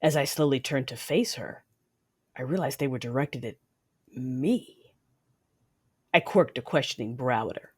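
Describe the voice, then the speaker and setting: A woman's voice: extremely low pitch, a little slow, long pauses, slightly rough, full character voice. A woman playing a cold, vengeful female Sith lord in an audio drama, controlled and menacing as she narrates her deeds. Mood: brooding. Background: music.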